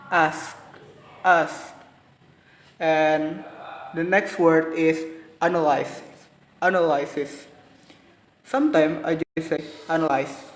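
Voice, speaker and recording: A young man with an Indonesian accent giving a halting class presentation on pronunciation, repeating each word several times. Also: a little high. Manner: halting, repeats words for practice. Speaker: student presenter. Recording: roomy, reverberant with faint ambience.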